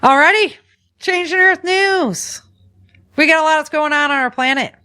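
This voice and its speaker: A woman hosting a podcast, chatting casually to listeners in an animated, folksy way with informal asides. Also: sits high, normal speed. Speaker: podcast host. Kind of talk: casual news chat to listeners.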